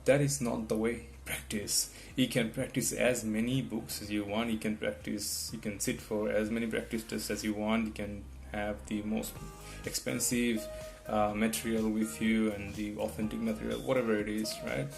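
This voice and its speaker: A man's voice: fairly low pitch, flat delivery, normal speed, vocal fry, few pauses. A man whose first language is not English gives rambling test-prep advice, restarting often and checking in with "right?". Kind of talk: casual advice talk to camera. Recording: guitar music in the background.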